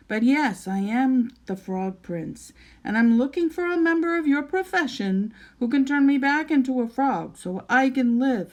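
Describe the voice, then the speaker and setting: A woman's voice: a little high, a little mellow. A woman voicing a young fairytale prince who is earnest, polite and insistent, and easily flustered.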